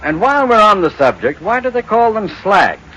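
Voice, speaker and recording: A man's voice: sits high, sing-song, fairly quick, lo-fi sound. A man playing a wry, grumbling middle-aged bank boss, blustery and sardonic, in 1940s radio comedy style on a noisy old recording. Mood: dry mockery.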